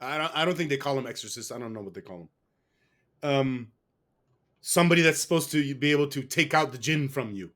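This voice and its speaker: A man's voice: medium pitch, fairly quick, a bit bright. A man being interviewed, telling a long personal story in a rambling, casual way. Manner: rambling, with restarts and fillers. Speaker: guest. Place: West.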